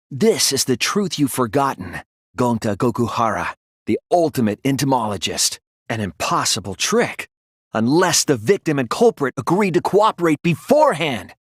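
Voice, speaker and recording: An actor playing an earnest, thoughtful teenage male detective in an English video game dub, explaining deductions deliberately. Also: mid-range voice, slightly rough, dry recording, studio quality. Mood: firm, assertive.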